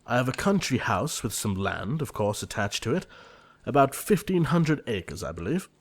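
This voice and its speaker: A man playing an earnest, formal young gentleman of twenty-nine in a theatrical audio drama, polite and deferential. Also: medium pitch, sing-song, normal speed.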